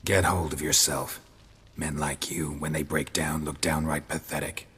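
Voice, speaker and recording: Hardened monster hunter, extremely deep, very raspy, stoic, cynical, deadpan, video game acting. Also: male voice, unhurried, full character voice. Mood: cold, scornful. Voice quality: gravelly, husky. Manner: deadpan, curt, cold.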